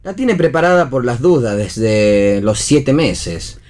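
A young Argentine husband for a radio play. He is dry and sarcastic, a little jittery, and his pitch moves around a great deal. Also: animated, slow pace, darkish tone. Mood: wry. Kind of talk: theatrical play reading for radio.

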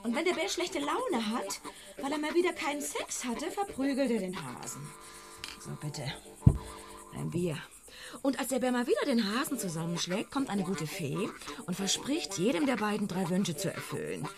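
An actress playing a sardonic, fed-up woman who mocks her bossy man with a dry, deadpan joke in a radio play. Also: a little high, moderate pace, music in the background. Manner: dry, mocking joke-telling.

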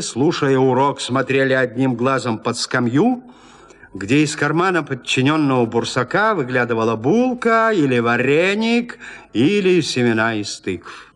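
A man narrating literary fiction in an expressive, theatrical, gently ironic storytelling manner. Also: medium pitch. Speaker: narrator reading classic fiction.

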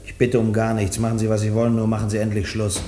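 An actor as a surly condemned man, low-voiced. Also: monotone, fast talker, dark tone, close to an everyday voice. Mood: sullen, defiant. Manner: curt, sullen. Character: surly, defiant condemned man, refusing to explain himself. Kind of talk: German radio play acting. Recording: roomy, noisy old radio recording.

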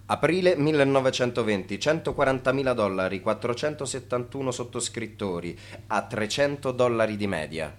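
A man's voice: mid-range voice, animated, moderate pace, natural voice. Radio play: male actor as chronicler, middle register, formal declamatory announcements. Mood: factual, declamatory. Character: declamatory male chronicler listing investors and sums.

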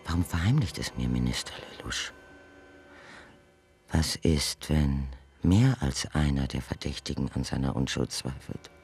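Deep-voiced, clinical justice official. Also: male voice, animated, unhurried, a little mellow, full character voice. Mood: suspicious, brooding. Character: cold, methodical male official running computer justice simulations. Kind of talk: German radio drama acting.

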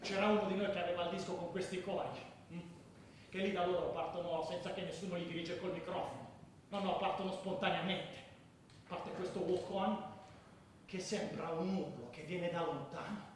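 An actor in a radio drama playing a fervent football ultra who tells stories in a colloquial, emphatic way. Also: male voice, high-pitched, steady tone, normal speed, natural voice. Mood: earnest, proud. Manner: colloquial, emphatic, bursting into shouts and chants. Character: fervent male football ultra recounting matches and brawls. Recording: echoey room with faint noise.

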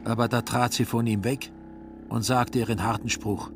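A man narrating a literary radio drama in a scripted storytelling style, with a husky voice. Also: mid-range voice, flat delivery, moderate pace, background noise.

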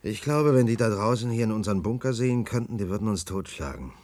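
An actor playing a disillusioned, pragmatic middle-aged scientist in a radio play, cynical and resigned. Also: male voice, lowish voice, expressive, fast, darkish tone, soft background music, lightly acted. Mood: weary, cynical. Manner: resigned, cynical, flaring into irritation.

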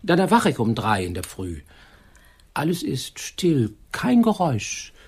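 An actor in a radio drama playing a lonely, ageing widower who talks in a meek, halting, melancholy ramble. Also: male voice, mid-range voice, huge pitch swings, a little mellow, husky, full character voice. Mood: forlorn. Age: older. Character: lonely, ageing widower intruding meekly for some company.

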